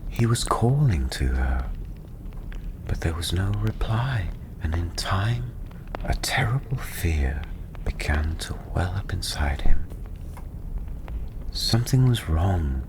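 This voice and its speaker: A softly spoken, half-whispering storyteller narrating a fantasy bedtime tale in a soothing, unhurried ASMR style. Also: low-pitched, big pitch swings. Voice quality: soft, breathy, half-whispered. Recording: constant ambient noise behind the voice. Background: ambience, crackling tavern fire.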